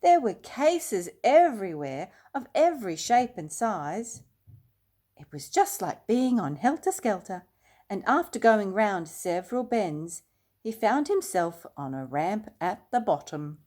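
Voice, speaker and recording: An older woman reads aloud to young children. Her delivery is slow and expressive with lively swings in pitch, and she pauses for simple asides and questions. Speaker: children's story reader. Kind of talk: storytime read-aloud with asides to young listeners.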